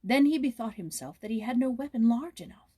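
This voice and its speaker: A woman narrating a children's story aloud in a measured, expressive storytelling manner. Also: fairly high pitch, breathy edge. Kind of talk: storybook read-aloud with informal introduction.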